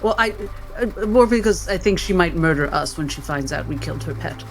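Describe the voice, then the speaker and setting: Guy playing a blunt bossy-practical doctor in a tabletop game, higher creaky voice, emphatic. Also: lively intonation, brisk, faint music behind. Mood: brisk. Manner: decisive, emphatic. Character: brisk, pragmatic, blunt doctor in a fantasy mystery game.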